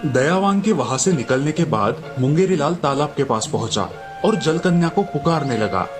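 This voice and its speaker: A man's voice: a little high, lively intonation, moderate pace, over music. A man narrating a scripted moral story as a storyteller.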